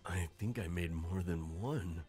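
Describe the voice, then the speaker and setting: Man playing a low, husky, friendly boyfriend. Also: a little slow, a little mellow, faint music behind. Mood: surprised, careful. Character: awkward, friendly new boyfriend at a party. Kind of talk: audio roleplay acting.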